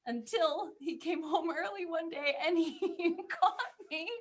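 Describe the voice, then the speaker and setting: A woman co-hosting a casual podcast, reminiscing in an animated way and quick to laugh. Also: extremely high pitch, noisy background. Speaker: podcast co-host. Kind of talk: casual chat, personal reminiscing.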